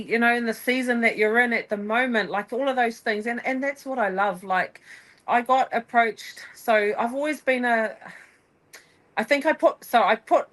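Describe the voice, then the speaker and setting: A woman chats as a guest on a podcast. She talks in bursts, full of energy, breaking off and restarting her sentences as her thoughts run ahead. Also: mid-range voice. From New Zealand. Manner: energetic, rambling, self-interrupting. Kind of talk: casual conversation.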